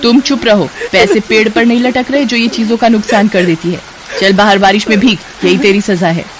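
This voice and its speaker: A woman voicing a cruel, scolding aunt who orders a child around in a sharp, commanding way, in a storybook tale. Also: mid-range voice, fairly even intonation, brisk, a crowd in the background, voice pushed up, lightly acted. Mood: angry, scolding.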